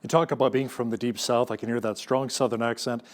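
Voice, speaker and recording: A man's voice: mid-range voice, fairly even intonation, fast talker. Canadian man asking interview questions. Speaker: news interviewer.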